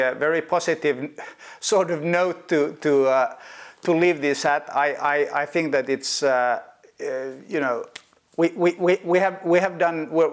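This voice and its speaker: A man hosting an interview in a casual, friendly chat, often repeating words as he finds them. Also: on the high side. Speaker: host.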